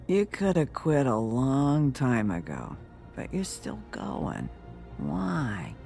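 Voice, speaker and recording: Slow, gravelly, bitter tough woman. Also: rumbling low, big pitch swings, dark tone, heavily acted. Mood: challenging. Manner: blunt, confrontational, slow. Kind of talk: video game voice acting. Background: faint music.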